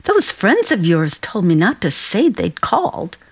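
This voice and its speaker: A woman's voice: medium pitch, very animated, measured pace, slightly rough, exaggerated voice. A woman acting in a radio play as a chatty, solicitous working-class landlady with a folksy, motherly, fussing manner.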